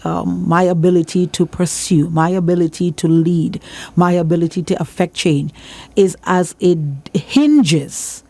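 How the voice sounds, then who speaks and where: A woman's voice: fairly low pitch, a little slow, vocal fry, mellow. A woman with a Trinidadian accent speaking as a church leader in an interview, emphatic and repeating phrases for emphasis like a preacher giving testimony.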